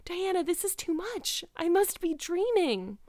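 A woman's voice: sits high, animated, normal speed, no echo, clean studio sound, voice pushed up. A woman voicing a rapturous, dreamy young girl in a storybook, gushing and exclamatory. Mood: excited.

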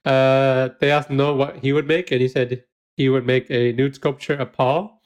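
A man's voice: mid-range voice, level tone, normal speed, smooth. A man co-hosting a podcast, recapping a baking show in casual chat, giggling often and using lots of fillers. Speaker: podcast co-host.

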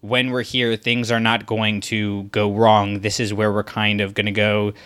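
Male podcast co-host, bright tone, casual rambling chat. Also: on the low side, level tone, a little slow, smooth. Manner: rambling, lots of fillers and restarts.

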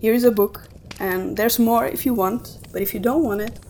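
A woman co-hosting a podcast in a casual book discussion, thinking aloud in a halting way as she gives her opinions. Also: fairly high pitch, fairly even intonation, a little mellow, a little hiss or hum. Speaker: podcast co-host.

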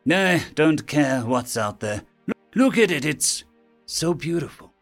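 A man voicing an excitable, fearful otter astronaut in an audio story. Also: a little high, unhurried, vocal fry, faint music behind, pitched above the performer's own voice, full character voice. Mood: awed nerves. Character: excitable, fearful young-spirited male otter astronaut crewmate.

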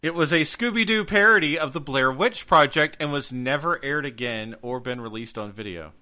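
A man's voice: fairly high pitch, normal speed, bright, forceful tone. A middle-aged man co-hosting a radio show in casual, bantering talk.

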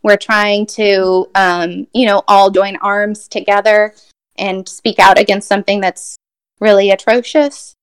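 A woman's voice: medium pitch, flat delivery, a little slow, clean tone. A woman poet from Brazil giving a reflective, thoughtful interview answer, with many fillers and restarts.

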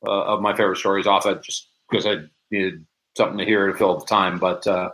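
Low-voiced man in a casual interview. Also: flat delivery, brisk, fairly bright tone, poor audio. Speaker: interview guest, audio drama producer.

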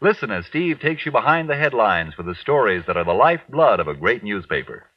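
A man's voice: lowish voice, very animated, brisk, dry recording. A man working as a radio announcer, reading ads and announcements in a 1940s style. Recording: dull, distant vintage radio recording.

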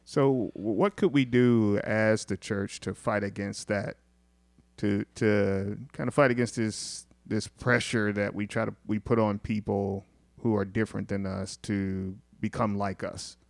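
A man's voice: fairly low pitch, fairly even intonation, measured pace, gravelly, dry recording. A man co-hosting an interview who asks the guest thoughtful questions. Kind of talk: conversational interview.

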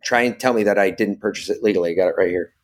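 A middle-aged man talking casually as a podcast guest, animated and sweary as he tells stories. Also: fairly low pitch, very fast.

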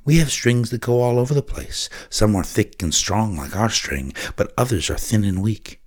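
A gentle older grandmother speaks in a patient, soothing way as she explains things to a scared child, voiced by a man reading in his own deep, low voice. She is warm and steady, with a storybook feel. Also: moderate pace.

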